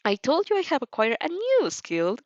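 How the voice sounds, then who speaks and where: A teasing teenage girl played by a woman in an anime fan story. She is casual and nonchalant, with lively pitch and a dry recording. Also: normal speed, dry recording, highs cut off.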